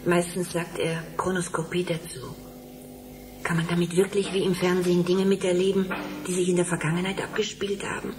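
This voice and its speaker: An actress playing a dreamy, grieving middle-aged wife in a German radio drama, hesitant, wistful and often hushed. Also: female voice, lowish voice, level tone, brisk, close to an everyday voice. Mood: curious. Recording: clearly noisy background.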